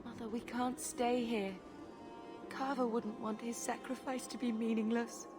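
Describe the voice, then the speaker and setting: An earnest, protective young woman, acted by a woman in a game drama. The voice is fairly high and urgent, with loud music behind it. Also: level tone, a little slow, lightly acted. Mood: grieving. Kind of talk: video game drama acting.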